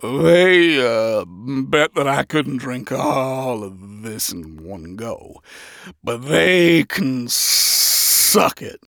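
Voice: mid-range voice, expressive, extremely slow, vocal fry, a bit bright, dry recording, clean studio sound, voice pushed down, full character voice. A performer voicing a grumpy male demon bar cat, slurring drunkenly in a story. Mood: drunk, defiant. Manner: slurred, intoxicated.

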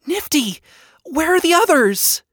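Narrator as a high-voiced, anxious young demon woman. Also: big pitch swings, measured pace, a bit bright, no echo, clean studio sound, full character voice. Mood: worried. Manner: calling out urgently.

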